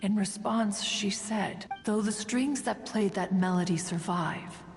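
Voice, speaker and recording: A woman's voice: medium pitch, a little slow, in a large hall, soft background music, lightly acted. A woman playing a proud, ancient, aloof immortal who tells stories in formal, archaic, stately speech in a video game. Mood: wistful, solemn. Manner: formal, stately, archaic storytelling, refers to self as "one". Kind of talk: scripted video game dialogue.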